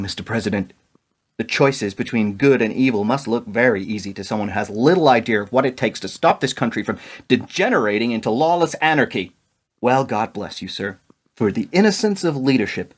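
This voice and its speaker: A senior government adviser in a radio drama, played by a man, with a medium-pitched voice. He is blunt and earnest, and argues his point with conviction. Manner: earnest, argumentative. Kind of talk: radio play acting.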